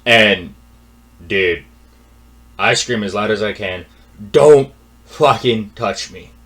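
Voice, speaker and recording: A man's voice: fairly low pitch, takes it slowly, poor audio. Exasperated young man ranting a story. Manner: exasperated, repetitive, swings from mumbling to loud. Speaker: vlogger telling a personal story. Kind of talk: casual storytelling rant.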